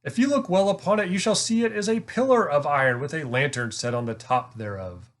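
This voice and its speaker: A man's voice: a little high, brisk, natural voice. An earnest young king of storybook legend, acted by a man reading aloud. He is curious and courtly, phrasing everything in formal, antique language. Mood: explaining. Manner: formal archaic courtly speech.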